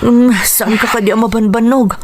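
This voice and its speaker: A female actor plays a young, supportive friend of a boxer in a radio drama. The voice is on the high side, with a caring and anxious manner. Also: steady tone, normal speed, background noise, lightly acted. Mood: concerned, supportive. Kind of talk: Ilocano radio drama acting.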